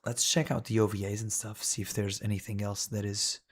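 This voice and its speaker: A man's voice: fairly low pitch, level tone, brisk, slightly rough. A male streamer talking casually and wryly while browsing a list, rambling with frequent "dude" and "man".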